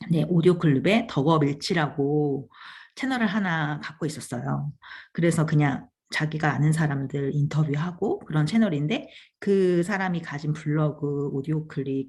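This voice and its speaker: Chatty low husky woman. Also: a little mellow. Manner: chatty, laughs often, trails off mid-phrase. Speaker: channel host giving advice on podcasting. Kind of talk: casual talk to camera.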